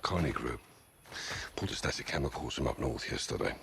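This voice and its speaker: An actor playing a blunt veteran army captain in a video game cutscene, giving terse military orders over a music score. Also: male voice, extremely low pitch, animated, brisk, creaky, fairly bright tone, slight background noise, full character voice. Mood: guarded. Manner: terse military radio talk. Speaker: squad captain.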